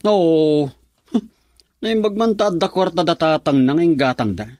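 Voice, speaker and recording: A man's voice: fairly high pitch, a little slow, dark tone, no room sound, studio quality, natural voice. An actor with an Ilocano accent playing an insolent, jealous young man who sneers and accuses in a radio drama. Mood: suspicious.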